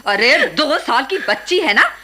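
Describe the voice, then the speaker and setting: A high, piercing middle-aged aunt in a radio drama. She runs the household with sharp orders and constant nagging, bossy and scolding in her manner. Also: very animated, a little slow, exaggerated voice. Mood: angry scolding. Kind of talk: Hindi radio play acting.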